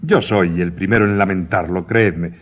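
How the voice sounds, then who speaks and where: An actor playing an evasive, calculating baron and banker in a radio drama, formal and guarded. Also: male voice, sits low, normal speed. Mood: guarded evasiveness. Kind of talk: Spanish radio drama acting. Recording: dull lo-fi audio.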